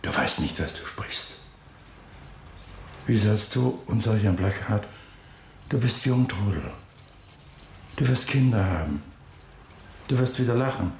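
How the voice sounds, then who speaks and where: An actor playing a weary, guarded old working-class father in a radio drama, speaking plainly and heavily with a gravelly voice. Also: fairly low pitch, animated, a little slow, long pauses, darkish tone. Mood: troubled, resigned. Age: older. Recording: roomy, with noisy ambience behind.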